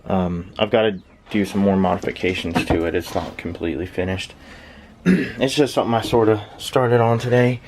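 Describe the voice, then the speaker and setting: A man's voice: fairly low pitch, normal speed, noisy background. A man giving a casual, unscripted hobby demonstration, rambling and hesitant as he thinks aloud. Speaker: hobbyist shortwave listener presenting own station. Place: small uninsulated shed with workbench.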